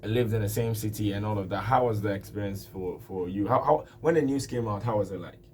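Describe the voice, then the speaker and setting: A man's voice: lowish voice, a little fast, a little mellow, slight background noise. A man hosting a radio interview in a friendly, loose way, with lots of fillers and hesitations. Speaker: radio host. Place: radio studio.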